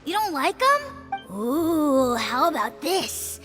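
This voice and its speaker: An eager little child in a video game, extremely high and excitable. The childlike speech bounces around in pitch over clear music. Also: female voice, takes it slowly, full character voice. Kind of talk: animated video game voice acting.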